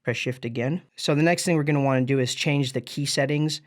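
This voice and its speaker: A man's voice: medium pitch, brisk. Man giving a casual gear tutorial. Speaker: music gear tutorial presenter.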